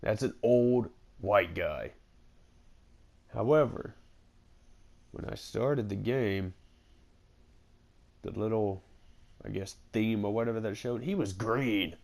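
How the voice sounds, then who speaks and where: A man's voice: on the low side, takes it slowly, creaky, long pauses, darkish tone. A young man giving casual, jokey video game commentary with offhand, rambling asides. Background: game music.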